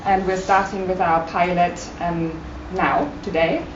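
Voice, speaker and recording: A woman's voice: on the low side, flat delivery, a little slow. A woman from Germany, a native German speaker, giving an informal, appreciative impromptu speech at a forum. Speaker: guest speaker, care project manager and doctoral researcher. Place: meeting room at a university campus. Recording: echoey room, distant mic, muffled, loud noise.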